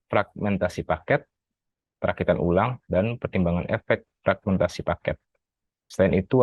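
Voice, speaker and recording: A very low-voiced male student reads his prepared review in an even, methodical way, sometimes stopping to correct a word. The sound is close and dry, with no room echo. The recording is slightly muffled, with its top end dulled. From Indonesia. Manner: steady reading with occasional self-corrections. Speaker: graduate student presenting an article review. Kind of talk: reading a prepared academic summary.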